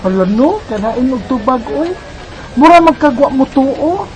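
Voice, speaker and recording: A woman's voice: sits high, lively intonation, measured pace, darkish tone, rough recording. An actress with a Filipino Visayan accent playing an earnest, trusting young woman in love in a radio drama. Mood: defensive, chatty. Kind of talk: Bisaya radio drama acting and narration.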